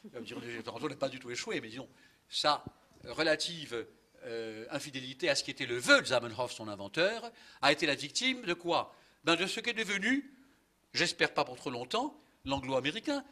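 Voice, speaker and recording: An older man giving a conference lecture, animated, ironic and polemical, with many asides and fillers. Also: on the high side, fairly quick. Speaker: conference speaker, linguist. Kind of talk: lively lecture to an audience. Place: conference hall.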